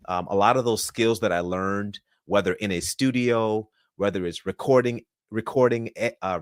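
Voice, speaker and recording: A man's voice: fairly low pitch, no echo, studio quality. A man from Florida, in his thirties, answering interview questions in an upbeat, polished speaker's way. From Florida, USA. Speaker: podcast guest.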